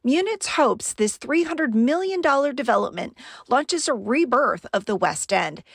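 A woman in her forties narrating a news report as an investigative reporter, in a crisp, measured delivery. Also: high voice, lively intonation, dry recording, clean studio sound. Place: outdoors beside a parking garage.

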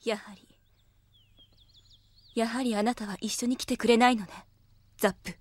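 An actress playing a sorrowful, lovelorn young woman warrior, hushed and wistful, in an anime audio drama. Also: a little high, fairly even intonation, moderate pace, close to an everyday voice. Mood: sad, longing.

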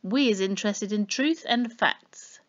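A woman's voice: mid-range voice, unhurried, dull audio, voice pushed up, lightly acted. A woman voicing a self-important male boss in a storybook, formal and dismissive.

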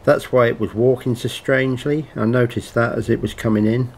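A man calmly narrating his night-time pest control footage in a quiet, matter-of-fact way. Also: mid-range voice, a little fast, husky. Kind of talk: calm low-key voice-over narration.

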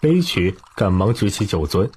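A man narrating a historical novel as an audiobook in a dramatic, expressive storytelling manner. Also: deep voice, moderate pace, slightly rough.

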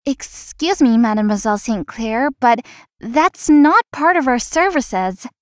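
An actress voices a young female florist for a visual novel, feisty beneath her politeness. Her high voice swings expressively and sounds earnest, recorded close and dry. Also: lively intonation, measured pace, dry recording. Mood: polite.

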